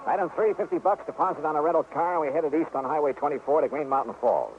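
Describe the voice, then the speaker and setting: An actor playing a sharp, skeptical insurance investigator in a brisk, clipped, wry radio detective style. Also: male voice, a little high, animated, lightly acted. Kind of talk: 1950s radio detective drama acting with first-person narration. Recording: tinny, noisy old radio recording.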